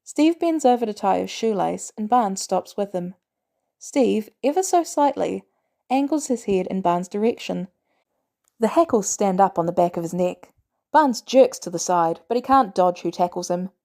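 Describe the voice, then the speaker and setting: A woman reading fiction aloud as an audiobook narrator, in an expressive storytelling style. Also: mid-range voice, moderate pace, creaky. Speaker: audiobook reader.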